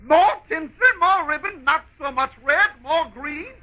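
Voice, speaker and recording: An easily unhinged male psychiatrist stuck serving customers. The voice is high and piercingly bright, delivered as old-time radio comedy. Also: unhurried, exaggerated voice. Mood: hysterical.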